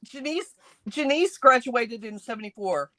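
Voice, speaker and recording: A woman's voice: on the high side, unhurried, a crowd in the background, poor audio. A woman in her late fifties hosting a craft live stream, teasing her co-host and talking straight to viewers as she reads their comments. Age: late 50s. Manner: lively and teasing, addresses viewers directly.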